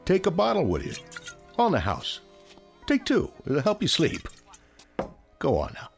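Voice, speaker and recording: An actor playing a folksy, obliging big-city shopkeeper in a radio drama, chatty and colloquial. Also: male voice, fairly high pitch, wildly swooping pitch, a little slow, gravelly, soft background music, full character voice. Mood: soothing, chatty.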